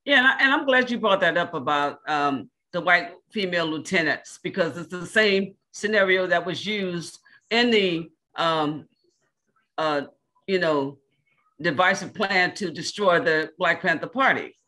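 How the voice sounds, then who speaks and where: A woman panelist on a video call, telling things in a halting, conversational, anecdotal way. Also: lowish voice, sharp tone.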